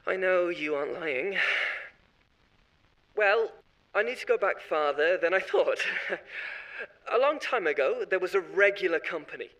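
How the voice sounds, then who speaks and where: A chatty, eerie corporate executive. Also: male voice, high-pitched, sharp tone, full character voice, low-quality recording. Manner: rambling, confiding, oddly cheerful. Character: eerie corporate marketing head, adult man, unsettling. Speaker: voice actor. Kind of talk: horror audio drama monologue.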